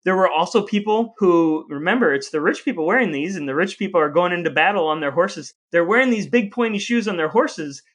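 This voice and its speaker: Higher-voiced man hosting a wry podcast. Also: a little fast, hardly any pauses, fairly bright tone. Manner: wry, tongue-in-cheek. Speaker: podcast host. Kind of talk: semi-scripted podcast narration and interviewing.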